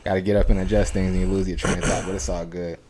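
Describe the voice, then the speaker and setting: A man co-hosts a laid-back podcast, rattling through stories with plenty of "like" and "you know". His voice sits fairly low and sounds dark and mellow. He talks fast and loose. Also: level tone, a little hiss or hum. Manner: fast, rambling storytelling with many fillers. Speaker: podcast co-host. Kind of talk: casual chat. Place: home podcast studio.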